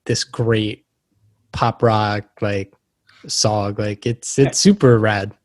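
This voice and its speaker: A man's voice: on the low side, fairly even intonation, slow. A man hosting an interview, chatting in an informal and appreciative way. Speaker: podcast host. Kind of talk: casual interview chat.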